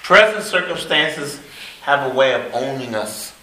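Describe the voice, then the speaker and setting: A man's voice: mid-range voice, lively intonation, a little slow, pushed and bright. A man preaching in short phrases for an interpreter, speaking in an informal, joking way. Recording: echoey room, faint noise.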